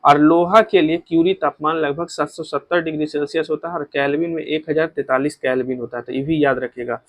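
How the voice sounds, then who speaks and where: A man's voice: a little high, steady tone, fairly quick, dry recording. A man with a Bihari accent teaching an exam-prep lesson, repeating key points instructively and mixing in English technical terms. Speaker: exam coaching physics teacher. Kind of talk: explanatory exam-prep lecture.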